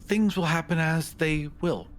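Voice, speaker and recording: A man voicing an earnest, idealistic small male would-be architect of fate in a fantasy roleplay, pleading in a formal, halting, hushed way. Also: a little high, faint music behind, pitched above the performer's own voice, lightly acted.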